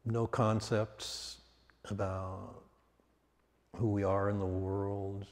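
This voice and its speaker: Calm guy doing guided meditation, kinda deep voice, lots of long pauses, big echoey reverb. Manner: calm, measured, with long pauses between short phrases. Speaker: meditation teacher. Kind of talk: guided meditation, spoken slowly and unscripted. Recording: long reverberant hall sound.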